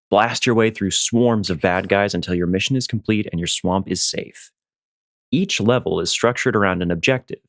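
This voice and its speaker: Male ad announcer, slightly low voice, playful, scripted, dry studio sound. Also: no room sound. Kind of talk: scripted promotional narration.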